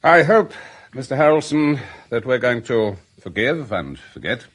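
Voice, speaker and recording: A man playing an intense young treasure seeker in a radio drama, speaking eagerly and excitably. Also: medium pitch, measured pace. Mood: earnest.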